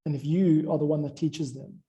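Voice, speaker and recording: A man's voice: a little high, fairly even intonation, brisk, dark tone. A man talking informally about audio production, explaining things in a rambling way as he thinks aloud. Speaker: audio drama music creator.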